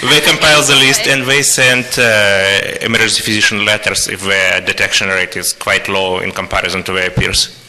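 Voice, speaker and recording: A man's voice: on the low side, fairly even intonation, moderate pace, sharp tone. A man speaking formally as the moderator of a conference session. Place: conference hall. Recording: reverberant hall.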